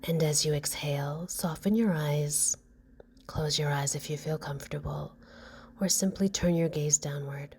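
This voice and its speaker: A woman gently guiding a meditation in a soft, breathy, slow and soothing voice. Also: low-pitched, slight background noise. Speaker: meditation guide. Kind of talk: guided meditation narration. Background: music.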